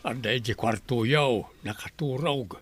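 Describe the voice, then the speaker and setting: A man's voice: medium pitch, measured pace, fairly bright tone, a little hiss or hum, close to an everyday voice. A man playing a scolding, protective older father-in-law in a radio drama, emphatic and reproachful. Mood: matter-of-fact.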